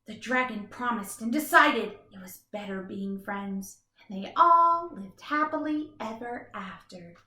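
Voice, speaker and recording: A woman doing an expressive children's read-aloud as a teacher, with emphatic, instructive delivery. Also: on the high side, measured pace, few pauses, roomy sound. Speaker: teacher reading a picture book aloud.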